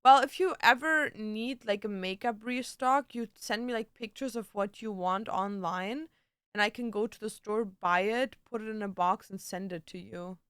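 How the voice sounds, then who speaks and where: A woman's voice: mid-range voice, moderate pace, fairly bright tone, clean tone, dry recording, clean studio sound. A woman livestreamer chatting casually and answering viewers, with a slangy, blunt and playfully sweary way of talking. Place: indoor home streaming setup.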